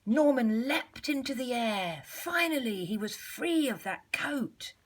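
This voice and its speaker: A woman reading a storybook aloud to young children like a schoolteacher, in an expressive, measured way with deliberate pauses. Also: a little high.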